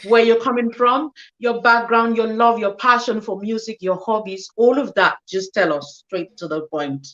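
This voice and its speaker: A woman's voice: a little high, normal speed, few pauses, a bit bright, highs cut off. A woman from Cameroon hosting an online interview show, playful and teasing, often laughing with her guest. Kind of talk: lively hosted interview.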